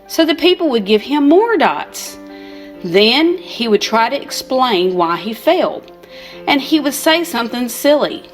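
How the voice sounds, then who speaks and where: An older woman reading a children's storybook aloud in an expressive, unhurried storytelling manner. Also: a little high. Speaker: storybook reader.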